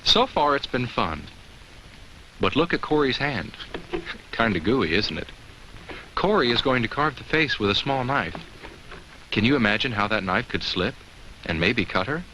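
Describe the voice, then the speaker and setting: A man's voice: medium pitch, sing-song, moderate pace, pauses often, bright, forceful tone. A man voicing a cheerful, kindly talking pumpkin who gives children simple safety advice in a 1980s educational film, asking them questions. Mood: gently cautioning. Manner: simple, instructive, addresses children with questions and chuckles. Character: talking jack-o'-lantern, cheerful, kindly, safety-minded narrator. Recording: faintly noisy old educational film soundtrack.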